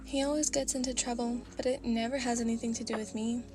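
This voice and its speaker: A flustered, snappy young woman fires back defensive retorts in a fairly high voice, as a woman reads her in a fan story. Music plays behind her. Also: steady tone, quick pace, natural voice.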